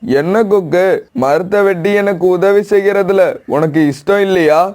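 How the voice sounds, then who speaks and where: A man's voice: high voice, unhurried, a little mellow. A man voicing a kindly old pigeon who owns an orchard, in a children's cartoon story. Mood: persuading. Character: old male pigeon orchard owner, kindly, asking for help.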